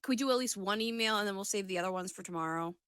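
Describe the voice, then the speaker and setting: A woman's voice: medium pitch, very fast, a bit bright, clear, resonant tone, dry recording, clean studio sound. A woman co-hosting a podcast, chatting casually in slangy, sweary banter. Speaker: podcast co-host and aspiring voice actor.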